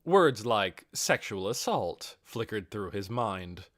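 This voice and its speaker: Animated male audiobook narrator, dry sound. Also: fairly low pitch, slow, dry recording. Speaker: audiobook reader. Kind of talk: expressive scripted narration of a fantasy novel.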